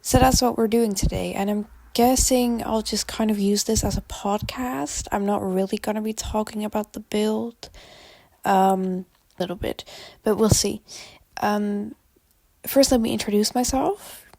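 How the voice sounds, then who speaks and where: A woman's voice: mid-range voice, a little slow, vocal fry, darkish tone, no echo. A teenage girl with a Dutch accent, whose first language is Dutch, rambling casually with frequent ums in a voice-over recorded on a handheld phone. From Netherlands. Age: teens. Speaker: gaming channel creator. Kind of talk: casual voice-over chat over a speed build. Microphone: phone held in hand.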